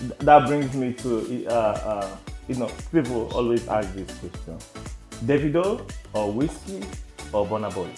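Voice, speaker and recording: A man's voice: medium pitch, lively intonation, measured pace, warm tone. Easygoing male host, echoey room. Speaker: interview host. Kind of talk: casual interview talk. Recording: roomy echo with faint music.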